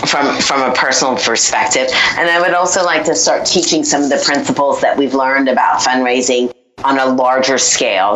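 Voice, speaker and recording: A woman with a strikingly deep voice, speaking as an earnest, reflective interview guest. Her voice echoes around the room, and a faint hiss sits under it. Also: a bit bright. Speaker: guest, fundraising advisor. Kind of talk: interview guest talking about her goals.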